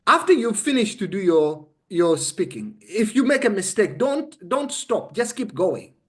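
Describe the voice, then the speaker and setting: A man's voice: high voice, big pitch swings, unhurried. A man with a Ugandan accent coaching conversationally, explaining step by step in an emphatic, instructive way and often checking that he is understood. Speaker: interview guest explaining a method.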